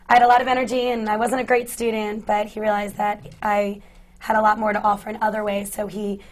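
A woman speaking as an actress on a panel, with a low, husky, raspy voice. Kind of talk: panel discussion.

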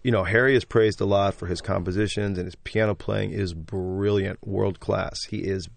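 Low, gravelly, enthusiastic male stage actor. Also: steady tone, normal speed, no echo. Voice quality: loud, braying voice. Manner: enthusiastic, effusive. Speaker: guest, stage actor and singer. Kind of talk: casual interview talk.

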